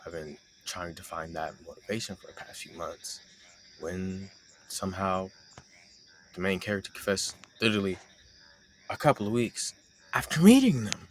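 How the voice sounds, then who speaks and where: Noticeable background noise sits under a very low young male voice, acted by a man. He is a shy, teasing friend with a secret crush, speaking haltingly and intimately in a romantic audio roleplay. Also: animated. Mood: wistful, shy.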